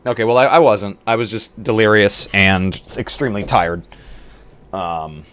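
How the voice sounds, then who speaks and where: A man streamer chatting casually on a livestream in a halting way, often restarting his sentences. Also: fairly low pitch, fairly bright tone.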